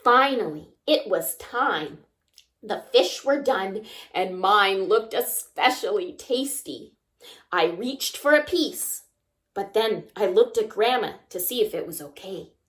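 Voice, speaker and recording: A woman reading a children's picture book aloud expressively, pausing to ask young listeners simple questions. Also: medium pitch, lively intonation, fairly bright tone. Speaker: children's story time reader.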